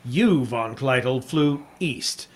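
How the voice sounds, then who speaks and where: An actor playing a tough, sardonic American reporter in a 1950s radio drama, with wry, clipped delivery. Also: male voice, mid-range voice, animated, slow pace. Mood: sardonic, assured. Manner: hard-boiled, clipped, wry. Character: tough, sardonic American newspaperman hero and narrator.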